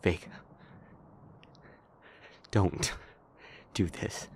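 Drama role, male actor: anguished man begging someone to stop, urgent delivery over clear noise. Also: drawn-out words. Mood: desperate pleading. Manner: urgent, imploring. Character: desperate man pleading with a departing companion. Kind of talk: dramatic audio roleplay.

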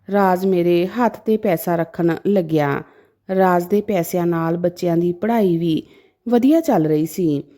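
Expressive woman storyteller with lively pitch. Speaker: story narrator. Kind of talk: dramatic first-person story narration.

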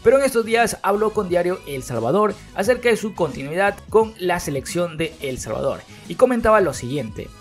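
A man hosting a football news channel, reading the day's headlines in a brisk newsy voice-over and speaking directly to viewers. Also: high-pitched, very animated. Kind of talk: read-out sports news narration. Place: voice-over, not recorded at the pictured fields. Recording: voice-over with faint background music. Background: background music.

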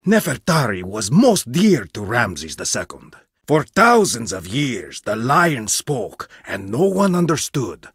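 A man's voice: medium pitch, sing-song, takes it slowly, a bit bright, breathy edge, dry recording, full character voice. An actor playing an arrogant, condescending male archaeologist in a video game, lecturing theatrically with a haughty manner. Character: arrogant egomaniac male archaeologist, middle-aged. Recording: dry studio booth.

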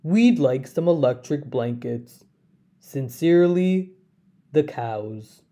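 A deadpan herd of storybook cows, acted by a woman, dictating demands in a low register. The delivery is slow and measured, with a dark, soft tone. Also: full character voice.

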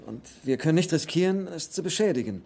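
An actor playing an earnest, insistent treasure hunter, a grown son, in a dubbed film. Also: male voice, fairly high pitch, animated, quick pace, creaky, a little mellow, a little hiss or hum. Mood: urgent, impatient. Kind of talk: German film dub acting. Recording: film soundtrack with music underneath.

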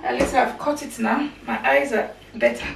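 A woman's voice: on the high side, fairly even intonation, normal speed, creaky. A woman in her thirties chatting casually as she cooks in her home kitchen, recorded on a camera mic set up across the room. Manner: chatty, talks while chopping and cooking. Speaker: cooking vlogger. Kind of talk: casual cooking narration. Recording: echoey kitchen, far from mic, background noise.

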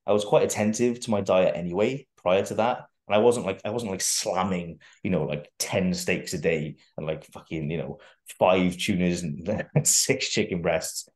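A man's voice: deep voice, normal speed, hardly any pauses, a little mellow. A young adult man, a guest fitness coach, talking casually on a video call, rambling with restarts and the odd swear word. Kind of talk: casual interview chat. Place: bare room at home, indoors. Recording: echoey room.

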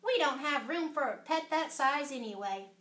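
A woman's voice: high-pitched, expressive, normal speed, fairly bright tone. A woman voicing a kind, eager, chirpy little boy in a children's storybook. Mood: resigned. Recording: echoey room, far from the mic.

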